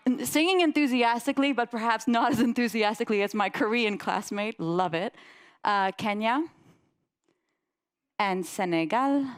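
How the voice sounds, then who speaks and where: A young woman from the Netherlands giving a presentation, telling personal stories in an animated way and laughing often. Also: on the high side, moderate pace, smooth. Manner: animated, laughs often, lively voices in anecdotes.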